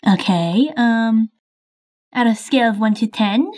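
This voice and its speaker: Cast: woman as a fumbling mad scientist, unsure, somewhat high voice, game roleplay. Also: unhurried, darkish tone, close to an everyday voice. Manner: unsure, fumbling, lots of ums. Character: bumbling mad scientist giving shots. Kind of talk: improvised game roleplay voice-over.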